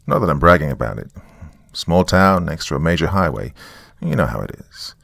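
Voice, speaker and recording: Man playing deep, gravelly, weary small-town survivor. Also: big pitch swings, moderate pace, a bit bright, no room sound, full character voice. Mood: wry, flustered. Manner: rambling, wry, colloquial. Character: weary, sardonic small-town man trapped in his basement. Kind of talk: dramatic monologue as a taped confession.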